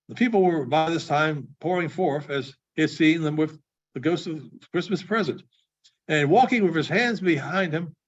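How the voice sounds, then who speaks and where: Animated male storyteller with a high-pitched voice. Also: highs cut off. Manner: theatrical, pausing between phrases. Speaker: storyteller, librarian. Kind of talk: dramatic live storybook reading.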